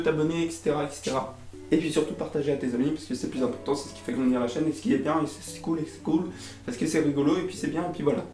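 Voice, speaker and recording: A man's voice: a little high, darkish tone. A young man doing a casual, comic rant to camera as a YouTuber, exasperated and theatrical, with slangy swearing. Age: young adult. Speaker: YouTuber, host of the video. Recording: roomy echo, music underneath.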